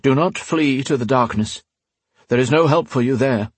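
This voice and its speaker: A man voicing a sorrowful old priest who speaks low, slowly and solemnly. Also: husky, no room sound, close to an everyday voice. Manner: low, sad, slow and solemn.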